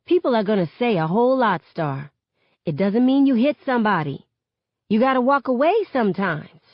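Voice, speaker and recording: A woman's voice: on the high side, lively intonation, normal speed, no room sound, lo-fi sound, pitched above the performer's own voice. A woman voicing a stern but caring mother in an audiobook, admonishing in a colloquial way. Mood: firm, caring. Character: stern, caring mother scolding her teenage child.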